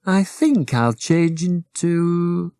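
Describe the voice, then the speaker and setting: A man's voice: sits high, expressive, drawn-out words, darkish tone, no echo, pitched above the performer's own voice, full character voice. A man voicing a sensible, slightly fussy girl rag doll in a children's storybook. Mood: fussy, reluctant.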